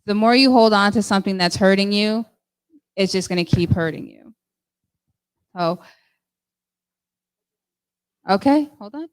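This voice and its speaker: A woman hosting a discussion, talking casually and warmly with many fillers. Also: medium pitch, moderate pace, clear, resonant tone, poor audio. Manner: warm, chatty, with fillers. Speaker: host of the discussion. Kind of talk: casual chat.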